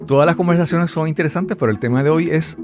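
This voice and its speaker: A man's voice: on the high side, fast, music in the background. A man hosting a podcast interview, asking rambling friendly questions and often repeating words. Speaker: podcast host.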